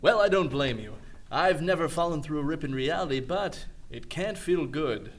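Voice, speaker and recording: Man as a breezy, animated, high-voiced hero. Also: moderate pace. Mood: breezy, reassuring. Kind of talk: superhero comedy radio drama acting.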